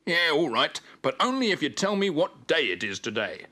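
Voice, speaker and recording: A man voicing an excitable, forgetful young male dog in an eager, exclaiming way for a children's story. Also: fairly high pitch, moderate pace, voice pushed up, heavily acted. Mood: excited.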